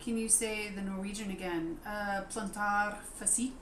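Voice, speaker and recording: An American woman in her forties chatting casually as co-host, talking haltingly with fillers and poking fun at herself. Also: mid-range voice, fairly even intonation, a bit bright. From United States. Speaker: co-host of a live stream. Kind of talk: casual chat. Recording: echoey room with noise behind.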